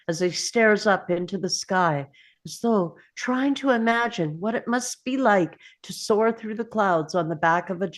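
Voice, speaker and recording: A woman serves as narrator, reading scene descriptions from a script. She takes her time, with a slightly low pitch. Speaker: narrator reading stage directions.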